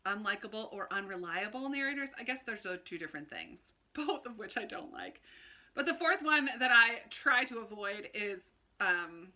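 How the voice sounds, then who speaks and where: A woman's voice: medium pitch, bright, forceful tone. A woman talking casually and chattily to viewers as a book vlogger, with an informal manner. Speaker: book vlogger, channel host.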